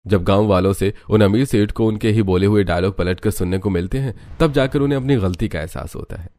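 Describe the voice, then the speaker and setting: A man's voice: fairly low pitch, brisk, dark tone, dry recording. A man narrating a scripted village story for a cartoon.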